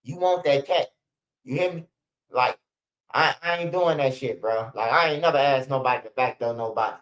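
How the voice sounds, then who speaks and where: A man's voice: mid-range voice, moderate pace, fairly bright tone. Forty-something man, blunt, lo-fi. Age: 40s. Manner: blunt, profane, sprinkles "bro" and "man". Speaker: host of a street news channel. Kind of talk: casual livestream storytelling.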